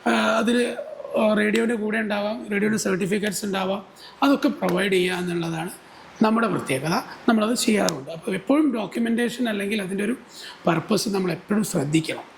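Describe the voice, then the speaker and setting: A man speaks in a high, creaky voice. He explains things as an interview guest, dropping in English terms. There is clear noise in the recording. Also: brisk, poor audio. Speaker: guest expert on a company podcast.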